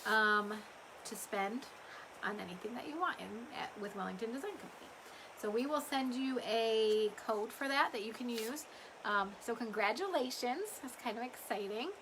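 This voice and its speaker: Woman, bright tone, chatty livestream host, rambling, slightly strained voice, faint background noise. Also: on the high side, low-quality recording. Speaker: small business owner hosting a live update. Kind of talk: casual livestream chat to customers.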